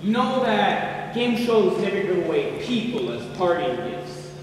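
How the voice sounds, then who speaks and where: A teenage boy acting a fed-up, curt, defensive partner in a high school stage play. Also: big pitch swings, a little slow. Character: fed-up, evasive, unfaithful young male partner. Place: high school stage, audience camera far away. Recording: noisy echoey hall, recorded from far off.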